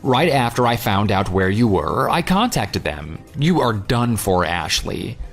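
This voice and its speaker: Male actor as bitter ex-fiancé, smug and taunting, lively swings in pitch, for an audio drama. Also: a little slow, faint music behind. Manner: sarcastic, taunting. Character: vengeful jilted ex-fiancé, sarcastic and coldly smug. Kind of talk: dramatized phone dialogue.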